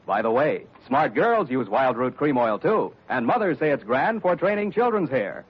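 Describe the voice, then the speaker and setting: A man's voice: medium pitch, very animated, normal speed, breathy edge. A male radio announcer reading a commercial and closing credits in a polished, emphatic salesman style. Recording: muffled vintage radio broadcast with faint music.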